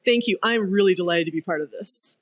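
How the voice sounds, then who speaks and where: A woman's voice: fairly low pitch, fast, no echo. A woman speaking conversationally as an accelerator coach being interviewed.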